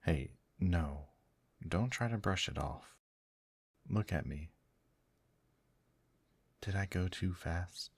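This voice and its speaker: Man acting a very deep, husky, gentle demon. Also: no room sound, studio quality, exaggerated voice. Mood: concerned. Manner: soft, tender, reassuring, unhurried. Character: gentle male demon, soothing, teasing, protective companion. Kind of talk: intimate ASMR roleplay addressed to the listener.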